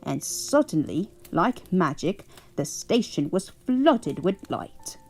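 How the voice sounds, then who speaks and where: A doting old English grandmother, put on by a woman, reads a storybook at bedtime in a slow, husky voice. Her pitch rises and falls warmly as she chuckles and fusses over the child. Soft music plays faintly underneath. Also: very animated, full character voice. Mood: cozy.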